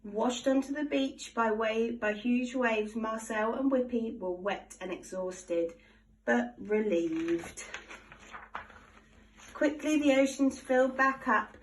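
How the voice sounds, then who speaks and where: A woman reads a children's picture book aloud with animated, expressive storytelling. Her voice sits on the higher side. She is recorded from a little distance from the microphone. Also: takes it slowly, slight background noise. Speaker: storybook reader.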